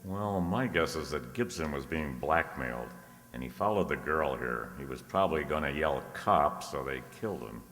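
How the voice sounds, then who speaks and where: Man acting very deep private eye, echoey hall. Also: lively intonation, normal speed, a bit bright, exaggerated voice. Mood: shrewd, deducing. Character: cool, shrewd, wry male private detective. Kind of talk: detective radio play acting.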